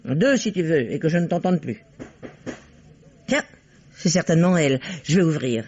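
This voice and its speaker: Bossy older aunt, swooping delivery. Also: female voice, low-pitched, lively intonation, a little mellow, slight background noise. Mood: impatient, scolding. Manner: brisk, chiding, authoritative. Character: bossy, brisk older aunt, a hatmaker, scolding and composed. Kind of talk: vintage French radio mystery acting. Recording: dull, muffled vintage radio drama sound.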